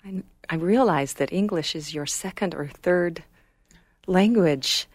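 A woman hosting an interview, soft-spoken and appreciative toward her guests. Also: lowish voice, a little slow, no echo. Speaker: host.

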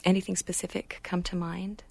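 Woman, interview host, soft-spoken, appreciative, low-pitched, dry. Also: flat delivery, moderate pace, creaky, no echo.

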